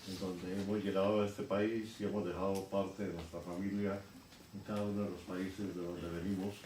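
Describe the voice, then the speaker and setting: Low male preacher, earnest and unhurried, recorded from a distance in a noisy church. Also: little pitch movement, a little mellow, roomy sound. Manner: slow, earnest, with pauses and fillers. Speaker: lay preacher leading the service. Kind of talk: church welcome and sermon. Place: church sanctuary.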